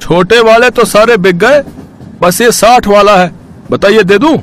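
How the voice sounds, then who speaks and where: A man's voice: sits high, measured pace, a bit bright, a little hiss or hum. A man voicing an obliging travelling snack seller in a cartoon story.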